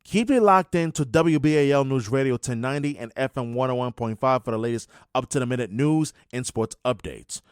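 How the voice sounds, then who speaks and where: A man's voice: mid-range voice, a little fast, hardly any pauses, smooth, dry recording, clean studio sound. A man working as a sports radio host, delivering commentary and updates.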